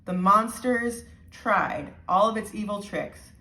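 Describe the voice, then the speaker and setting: A woman voicing a grave old island handyman telling a storybook tale in a measured way. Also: mid-range voice, sharp tone, far from the mic. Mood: stirring. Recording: roomy, echoing indoor sound.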